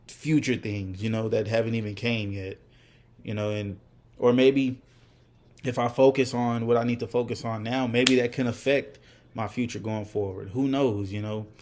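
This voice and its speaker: A man's voice: on the low side, darkish tone. A man in his twenties talking casually to camera, candid and reflective, rambling with many fillers and restarts. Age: 20s. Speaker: vlogger. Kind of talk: casual unscripted talk to camera.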